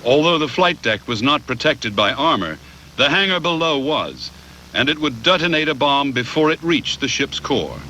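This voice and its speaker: Male documentary narrator with lively intonation, noisy backing. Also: medium pitch. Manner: measured, factual delivery.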